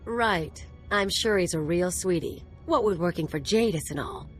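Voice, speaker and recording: Woman acting a sardonic, low-pitched sidekick. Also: moderate pace, faint music behind, close to an everyday voice. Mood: sarcastic. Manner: dry, sarcastic. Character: sardonic, tough female companion. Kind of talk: video game cutscene acting.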